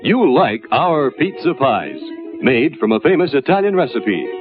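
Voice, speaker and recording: A man's voice: mid-range voice, big pitch swings, unhurried. A man narrating a vintage drive-in concession advertisement as announcer. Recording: dull old drive-in promo, music behind.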